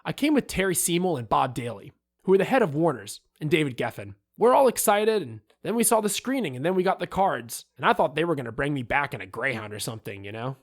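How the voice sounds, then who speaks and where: A man voicing an animated film director who recalls events with emphatic, dramatic pauses, as in an interview. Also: fairly high pitch. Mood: animated, rueful. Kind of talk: acted reading of interview quotes.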